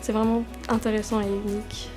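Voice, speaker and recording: A woman's voice: mid-range voice, level tone, fairly quick. A teenage girl student speaking with some hesitation and fillers. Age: teens. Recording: music bed underneath.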